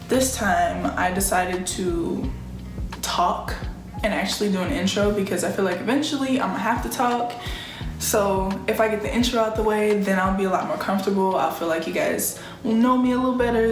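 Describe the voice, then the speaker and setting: A woman's voice: medium pitch, fairly even intonation, normal speed. A twenty-year-old American woman from Chicago chats casually to camera as a vlogger, slangy and often interrupting herself. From Chicago, United States. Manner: informal, self-interrupting, slangy. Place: small plain-walled room indoors. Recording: echoey room with music underneath. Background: music.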